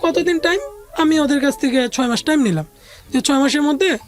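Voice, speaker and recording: A woman with a noticeably high voice and a Bangladeshi accent recounts a personal story as an interview guest. She talks conversationally and often repeats what others said in direct speech. Faint music plays behind her. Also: brisk.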